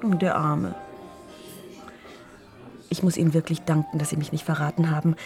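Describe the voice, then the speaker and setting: Shrewd, lower-voiced wife. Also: female voice, little pitch movement, normal speed, creaky, darkish tone, soft background music. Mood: teasing, charming. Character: shrewd, self-assured wealthy wife having an affair. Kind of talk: radio crime drama acting.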